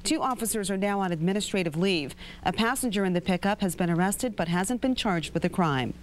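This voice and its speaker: A woman's voice: medium pitch, expressive, fairly quick, slight background noise. A woman with an American accent reading scripted news as an anchor.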